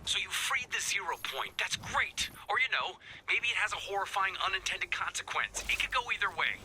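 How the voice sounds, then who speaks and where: An actor playing a self-important, neurotic scientist in a video game, with a sardonic, rambling manner. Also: male voice, high voice, sing-song, normal speed, over loud music, low-quality recording. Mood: sarcastic, uneasy. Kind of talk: comic video game voice acting.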